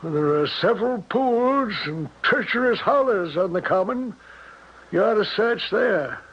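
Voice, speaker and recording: A folksy, defensive innkeeper, with a high, husky voice. He speaks in stammering, homespun turns, and the seventies radio drama recording sounds dull. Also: lively intonation, unhurried, full character voice. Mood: folksy recounting. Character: folksy small-town innkeeper, grumbling, uneasy and defensive. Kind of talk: 1970s radio drama acting.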